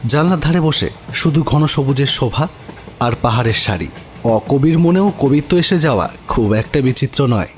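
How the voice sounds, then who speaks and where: A man's voice: mid-range voice, lively intonation, moderate pace, noisy background. A man giving scripted narration of a horror story. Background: music.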